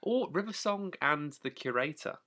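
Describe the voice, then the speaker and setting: A man's voice: a little high, expressive, normal speed, sharp tone. A man co-hosting a podcast in casual comic banter, with a dry, joking way of talking. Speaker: podcast co-host.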